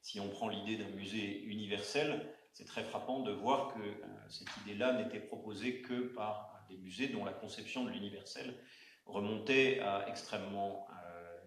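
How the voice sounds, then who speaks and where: A man moderating a round-table discussion in an auditorium, speaking haltingly with many fillers and restarts. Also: on the low side, a bit bright. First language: not Arabic. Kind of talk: hesitant spoken introduction at a panel. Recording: echoey auditorium sound.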